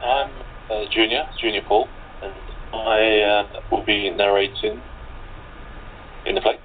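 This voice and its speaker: A man's voice: lowish voice, steady tone, a little slow, slight background noise. A man who works as a radio play narrator and actor. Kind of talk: scripted narration and casual interview chat. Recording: muffled narrow phone-like audio.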